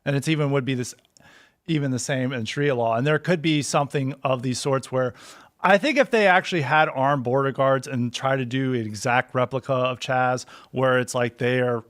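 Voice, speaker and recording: Homem convidado de podcast, fala divagante. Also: male voice, mid-range voice, normal speed, fairly bright tone. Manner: rambling, loosely built sentences. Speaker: podcast guest. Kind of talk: political talk show discussion. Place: studio. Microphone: studio mic on boom arm.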